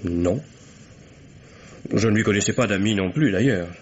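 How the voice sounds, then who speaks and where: A man's voice: lowish voice, moderate pace, natural voice. A man acting a reserved, bitter son under questioning in an old radio mystery, answering formally with hesitations and curt replies. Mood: defensive, bitter. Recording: dull, noisy old radio drama recording.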